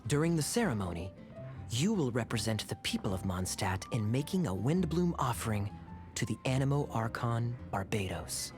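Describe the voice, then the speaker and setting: An actor playing a suave, teasing young knight captain in a video game, smooth-talking and theatrical. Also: male voice, mid-range voice, close to an everyday voice.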